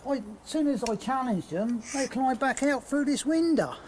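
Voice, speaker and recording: Male hotelier eyewitness, high register, halting tag-word delivery, faint location noise. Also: animated, a little mellow. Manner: repeatedly punctuates with "right". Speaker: hotel owner reporting a break-in. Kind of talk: spontaneous eyewitness account.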